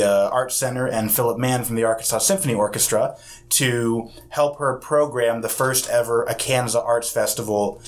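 A man who runs an arts festival, answering interview questions in an affable, rambling way, with plenty of fillers. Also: medium pitch. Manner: affable, explains at length with many fillers. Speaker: arts festival executive director.

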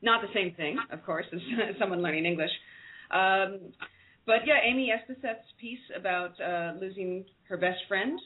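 A woman's voice: mid-range voice, normal speed. A woman from the United States with an American accent answers reflectively as co-editor of a book. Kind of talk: radio interview answers. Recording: phone-like line with faint noise.

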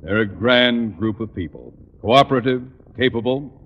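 An American actor playing a pompous, blustery middle-aged school principal in old-time radio comedy, speaking formally and deliberately. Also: male voice, medium pitch, animated, breathy edge, long reverb tail. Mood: contented. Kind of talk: 1940s-50s radio comedy acting. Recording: muffled, noisy old-time radio recording.

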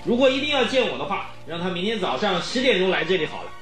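A man's voice: sits high, very animated, quick pace, a bit bright, faint music behind. An actor playing a haughty, stubborn eccentric in a radio drama, pompous and scornful, hemming as he talks. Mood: grumpy, dismissive. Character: haughty, stubborn, eccentric rich man, dismissive of callers. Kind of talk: Mandarin radio drama acting.

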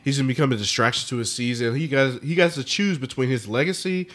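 A man's voice: medium pitch, fast, fairly bright tone. A man chatting casually in a slangy, emphatic and opinionated way. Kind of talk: casual chat.

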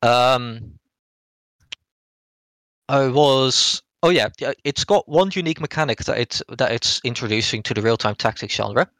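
A man co-hosting a podcast, casually reviewing a game in a halting, rambling way with frequent hedging and rephrasing. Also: mid-range voice, a bit bright, no echo. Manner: hesitant, halting delivery, often hedging and rephrasing.

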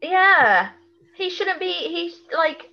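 A woman's voice: extremely high pitch, big pitch swings, takes it slowly. Slightly muffled, chatty woman podcast co-host. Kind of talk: casual, reactive chat about a TV episode.

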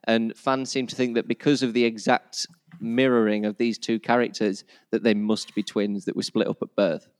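A man's voice: fairly low pitch, level tone, normal speed, no echo. A man with a Yorkshire accent hosting a casual podcast chat. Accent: Yorkshire English. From Yorkshire, England. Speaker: podcast host.

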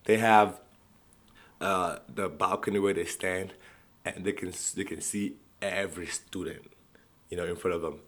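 A man's voice: low voice, fairly even intonation, moderate pace, pauses often, a bit bright. A young man telling personal stories in a rambling way, with many restarts and frequent laughter. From Nigeria. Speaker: vlogger. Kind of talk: casual storytelling.

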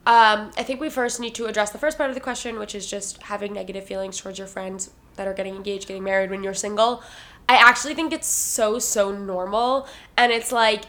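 Stuffy-voiced nasal woman, bright and chatty. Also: medium pitch, fairly even intonation, brisk. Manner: chatty, laughs often. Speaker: podcast co-host. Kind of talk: casual chat. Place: lives in New York City.

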